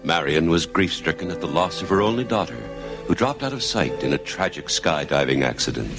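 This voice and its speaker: A man's voice: sits low, sing-song, fairly quick. A man playing a solemn, melodramatic announcer in a soap opera parody, on an old TV clip. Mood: mock solemn. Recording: old TV clip, loud music, audience.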